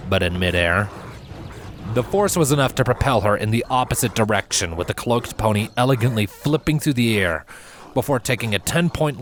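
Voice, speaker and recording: A man reading scripted fan fiction as a narrator, with measured, dramatic storytelling. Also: lowish voice, slight background noise. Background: background music.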